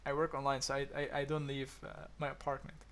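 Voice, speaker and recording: A man's voice: mid-range voice, monotone, fast talker, a bit bright. A man from Egypt with an accent between Egyptian and American, chatting casually to viewers about daily routines, with many restarts and fillers. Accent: Egyptian-influenced English, deliberately between Egyptian and American. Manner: hesitant, many restarts and ums, chuckles often. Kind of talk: casual teaching talk to viewers. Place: home apartment.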